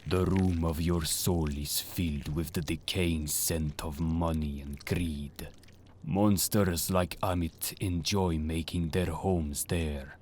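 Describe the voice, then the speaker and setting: A man's voice: deep voice, steady tone, slow pace, a little mellow, a little hiss or hum. A man playing a solemn, menacing mystical Egyptian avenger in an audio drama, speaking in a grave, portentous manner. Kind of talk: dramatic audio manga acting. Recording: voice over prominent dramatic music.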